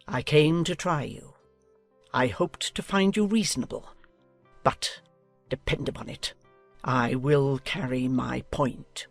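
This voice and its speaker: A woman's voice: rumbling low, takes it slowly, creaky, no echo, soft background music, voice pushed down, heavily acted. A woman playing a haughty, domineering older English aristocrat in a dramatized audiobook, with a pompous, commanding manner. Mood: cold outrage.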